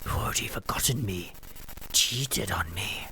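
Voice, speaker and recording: A man voicing a vengeful ghost of a spouse, speaking in a low, hoarse, accusing whisper from a distance. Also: bright, forceful tone, exaggerated voice. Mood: bitter accusing. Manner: cold, accusing, slow.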